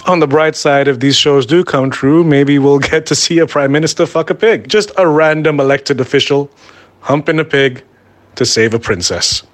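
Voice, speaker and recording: A man's voice: on the high side, moderate pace. A man co-hosting a podcast, chatting casually in a wry, joking manner. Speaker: podcast co-host. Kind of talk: casual chat.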